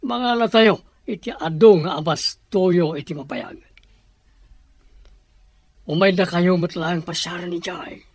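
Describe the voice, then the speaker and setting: A man playing a scheming, secretive, moneyed boss in an Ilocano audio drama, with a husky voice and a Filipino accent. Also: high-pitched, unhurried, long pauses, no room sound, exaggerated voice. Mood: secretive, commanding.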